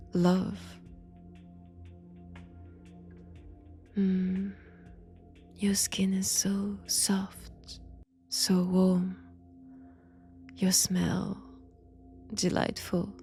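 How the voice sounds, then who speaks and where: A woman playing a mad vampire in an intimate audio roleplay, with a French accent, seductive, menacing and mockingly tender, speaking in halting short phrases. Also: fairly low pitch, vocal fry, warm tone. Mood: predatory, tender. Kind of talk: slow intimate roleplay monologue.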